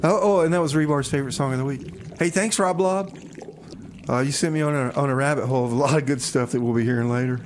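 A man's voice: mid-range voice, quick pace, slight background noise. A man hosting a community radio show with casual comic patter, rambling and repeating his phrases in a folksy way.

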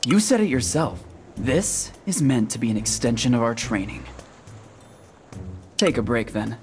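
A stern young anime game fighter, with a medium-pitched voice. He is curt and serious, with clear music behind him. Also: very animated, unhurried. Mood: stern, dismissive.

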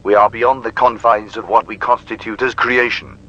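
Actor as bright, formal machine companion. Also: male voice, on the low side, steady tone, normal speed. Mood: solemn, explanatory. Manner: formal, courteous, eloquent. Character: courteous, erudite male machine companion to investigators. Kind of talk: analog horror audio drama. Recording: dull, radio-like band-limited sound.

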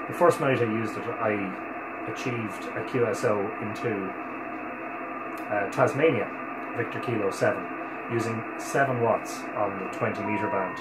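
A man in his forties with an Irish accent casually explains a demonstration, thinking aloud as he works in a relaxed way. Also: lowish voice, unhurried, roomy sound, very noisy. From Ireland. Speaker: amateur radio operator presenting. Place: small home radio room, indoors. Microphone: camera a couple of metres away.

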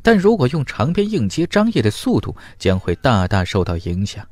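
A man's voice: medium pitch, huge pitch swings, normal speed, darkish tone. A man reading dramatic scripted fantasy fiction as an audiobook narrator.

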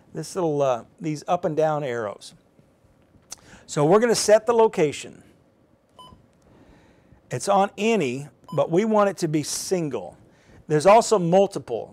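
Middle aged tv weather guy, bit higher pitched, doing a casual step by step demo in studio, talks himself through it. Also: a little mellow. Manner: informal, thinking aloud while handling a device. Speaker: TV weather presenter. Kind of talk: live how-to demonstration. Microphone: lapel mic.

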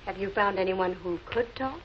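A woman's voice: medium pitch, moderate pace, a little hiss or hum, natural voice. An actress with an American accent playing a poised woman in a 1940s television murder mystery. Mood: curious. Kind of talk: 1940s American television crime drama acting. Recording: muffled old film soundtrack with music.

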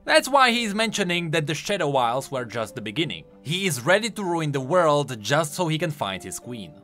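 Animated, bright-voiced man explaining game lore. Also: on the high side, a little fast. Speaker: video game lore YouTuber. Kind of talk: explanatory voice-over commentary. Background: music.

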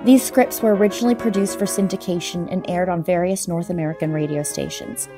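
A woman's voice: lowish voice, fairly even intonation, fairly quick. A woman announcer reading scripted credits for a radio drama. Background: violin music.

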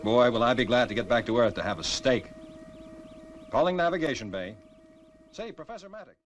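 An actor playing a breezy heroic space pilot in a 1960s puppet series. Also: male voice, medium pitch, animated, fairly quick, soft background music, natural voice.

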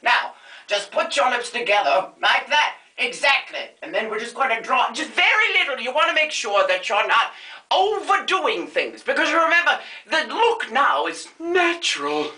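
An aging screen diva, vain, flighty and bawdy, who rambles through a comedy makeup lesson, as a performer puts her on. Her voice is piercingly bright and swoops wildly up and down as she drops names in a grand, theatrical manner. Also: medium pitch, very animated, normal speed, roomy sound. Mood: campy. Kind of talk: comedy sketch, makeup tutorial parody.

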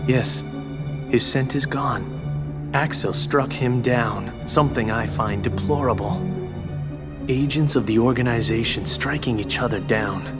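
A man's voice: mid-range voice, a little slow, lightly acted. Man voicing a cold calculating schemer. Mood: cool. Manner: cool, disdainful, precise. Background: ominous game music.

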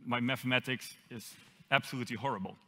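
A young man with a Dutch accent gives a conference talk on an auditorium stage, dropping in dry self-mocking jokes. His voice sounds bright and forward. A long reverb from the hall trails behind him. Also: medium pitch, fast talker. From Netherlands. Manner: self-deprecating, lightly joking. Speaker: conference speaker.

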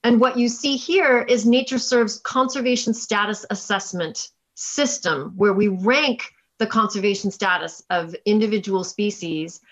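A woman scientist giving a keynote presentation in a measured, explanatory way over a video call. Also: medium pitch, fairly bright tone. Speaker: keynote speaker and chief scientist. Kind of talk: conference presentation with slides.

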